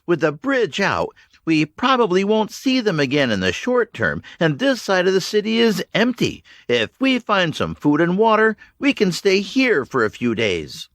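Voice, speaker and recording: A man voicing a tired, determined woman who leads a group of children through a ruined city, speaking in steady, encouraging commands. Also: high voice, normal speed, dry recording, studio quality, pitched above the performer's own voice, exaggerated voice.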